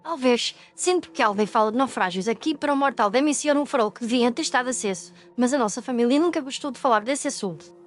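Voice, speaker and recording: A woman's voice: a little high, fast, faint music behind, close to an everyday voice. A woman playing a determined, curious young ghost-story podcaster, earnest and articulate, with a European Portuguese accent. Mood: intrigued. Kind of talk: audio ghost story acting.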